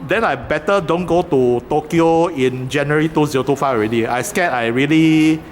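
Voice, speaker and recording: A man's voice: a little high, moderate pace, noisy background. A man with a Singaporean English accent chatting hesitantly in a train station corridor, with many fillers and restarts. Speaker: vlogger filming a video shoot. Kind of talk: casual chat while filming.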